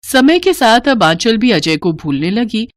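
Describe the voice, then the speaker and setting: A woman's voice: a little high, big pitch swings, a little slow, no room sound, studio quality. A woman narrating a scripted family story in a dramatic storytelling style.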